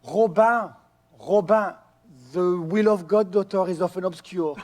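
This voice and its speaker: A solemn old monk. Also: male voice, sits high, darkish tone. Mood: pious. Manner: slow, pious, solemn. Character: solemn older male monk, pious and gullible.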